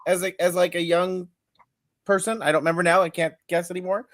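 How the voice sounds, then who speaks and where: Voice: mid-range voice, little pitch movement, fairly quick, fairly bright tone. A podcast co-host chatting casually, making crude jokes and sarcastic remarks. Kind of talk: casual chat.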